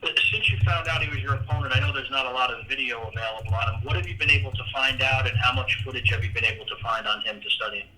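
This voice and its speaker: A man asks questions quickly in a conversational reporter's style. His voice is very bright and sharp, coming over a phone line with faint noise. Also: mid-range voice. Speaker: sports reporter.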